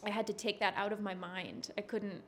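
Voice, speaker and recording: A woman in her thirties being interviewed backstage, answering in a relaxed, rambling way and laughing easily. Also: mid-range voice, a little fast, slight background noise. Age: 30s. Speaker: touring bassist guest. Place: backstage at a concert venue.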